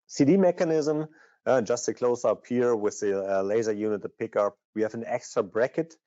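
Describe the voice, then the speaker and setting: Non guy doing product training, dark relaxed voice, bit dull audio, stop and start. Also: mid-range voice, normal speed, no echo. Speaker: product manager presenting. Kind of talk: technical product training presentation.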